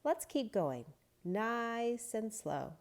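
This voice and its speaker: Lady doing a calm little yogi girl, super slow soothing voice. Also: on the high side, exaggerated voice. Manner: slow, soothing, guiding breathing.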